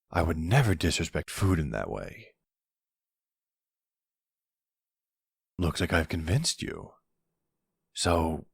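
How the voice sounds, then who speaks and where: Man playing a deep, smug young mafia boss. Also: creaky, slightly rough, dry recording, studio quality, heavily acted. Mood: cajoling. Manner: teasing, unhurried, smug. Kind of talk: ASMR boyfriend roleplay acting.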